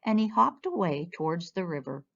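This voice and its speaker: A woman reads a picture book to children with a lively, expressive swing in her medium-pitched voice. The recording is a little dull and lo-fi. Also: normal speed, creaky. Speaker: children's storyteller. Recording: dull, lo-fi sound.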